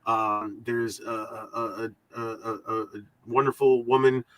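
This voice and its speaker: A man hosting a podcast in casual, rambling, halting talk, often repeating his words and swearing freely. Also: mid-range voice, level tone, no echo, dull audio. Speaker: podcast host.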